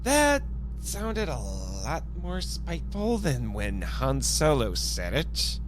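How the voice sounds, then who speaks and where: A man narrating a scripted first-person adventure story in a theatrical, expressive way, acting out his own dialogue as he goes. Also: medium pitch, slow pace, music in the background. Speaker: podcast host narrating an audio story.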